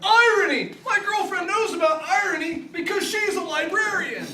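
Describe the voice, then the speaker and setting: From far off in a noisy, echoey room comes a very high-pitched, booming police sergeant. He is cheerful, oblivious and over-eager, exclaiming everything in a comic radio play. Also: moderate pace. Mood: loud, earnest. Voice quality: booming, ear-splitting delivery. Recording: roomy, distant, noisy stage recording.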